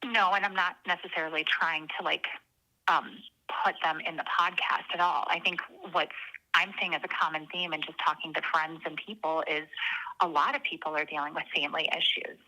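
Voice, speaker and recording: A woman's voice: low-pitched, fairly even intonation, fairly quick, pushed and bright, rough recording. A woman calling in to an advice show, hesitant and searching for words as she talks emotionally. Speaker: caller. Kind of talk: phone-in conversation.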